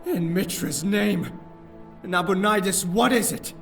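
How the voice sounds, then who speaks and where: An alarmed nobleman played by a male actor in a fantasy audio drama. He sounds urgent and questioning, with a fairly high voice. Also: slow pace, vocal fry, a bit bright, soft background music, heavily acted. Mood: aghast.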